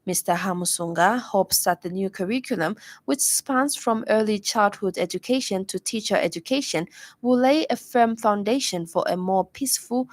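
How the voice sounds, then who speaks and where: A woman's voice: medium pitch, moderate pace, no room sound. Zambian lady reading the radio news, formal, close dry sound. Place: radio studio.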